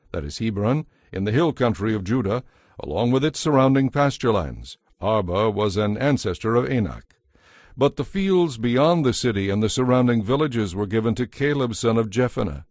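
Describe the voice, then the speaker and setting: Measured male narrator, very dry sound. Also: mid-range voice, no room sound. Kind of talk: scripted audio Bible narration.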